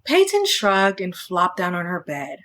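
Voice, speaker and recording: A woman's voice: lowish voice, wildly swooping pitch, unhurried, fairly bright tone. A woman reading a children's story aloud, with friendly, casual asides that explain it. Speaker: storybook reader.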